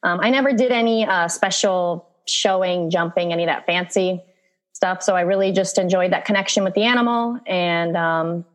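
A woman's voice: on the low side, steady tone, normal speed. A woman from Virginia, a guest on a podcast, telling stories in a friendly, easygoing way and laughing often. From Virginia, USA. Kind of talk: casual interview chat.

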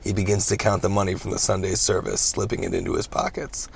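A man's voice: low-pitched, steady tone, fast, creaky, breathy edge, full character voice. A man playing a dramatic movie narrator, reading scripted stage directions. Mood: measured drama.

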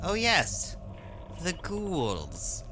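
An actor playing a macabre, gleefully sinister horror-tale host, speaking in a theatrical style. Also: male voice, sits high, wildly swooping pitch, drawn-out words, background noise, heavily acted.

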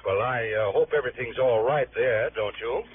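An actor playing a courteous, stuffy insurance boss with a hesitant, formal manner in a radio drama. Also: male voice, medium pitch, normal speed, natural voice. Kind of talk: old radio drama acting. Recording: narrow, faintly noisy old radio recording.

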